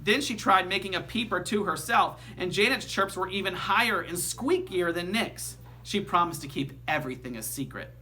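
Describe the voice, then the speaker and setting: A man reads a children's book aloud in an expressive, emphatic way, as a teacher would to pupils. His voice is bright and forceful, recorded with faint noise and a hum. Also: sits high, normal speed, hardly any pauses. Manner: animated, emphatic storytelling.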